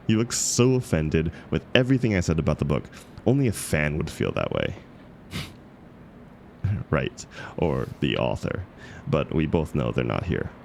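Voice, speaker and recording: A man's voice: extremely low pitch, very animated, normal speed, creaky, long pauses, slight background noise, heavily acted. A man playing an awkward, bashful book club member in an intimate scripted roleplay, speaking hesitantly with stammers and self-deprecation. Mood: teasing, friendly. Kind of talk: scripted romantic audio roleplay, intimate one-sided dialogue.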